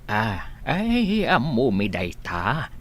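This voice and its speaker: A man's voice: mid-range voice, wildly swooping pitch, drawn-out words, a little hiss or hum, full character voice. A man acting a humble, friendly villager in an Ilocano radio drama, with an Ilocano Filipino accent. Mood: earnest.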